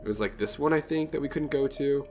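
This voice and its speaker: A man's voice: mid-range voice, fast, music in the background. A young man hosting a let's play, chatting casually and thinking aloud as he plays, his voice a little nasal from a cold. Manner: chatty, thinks aloud, reads game text aloud. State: has a cold, slightly nasal. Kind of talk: casual gameplay commentary.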